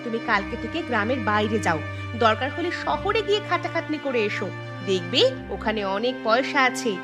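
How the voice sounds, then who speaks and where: A woman plays a short-tempered, scornful village wife in a cartoon. Her voice is high and insistent, always scolding. Music plays clearly underneath. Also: sing-song, brisk. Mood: angry, demanding. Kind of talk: Bengali cartoon dubbing.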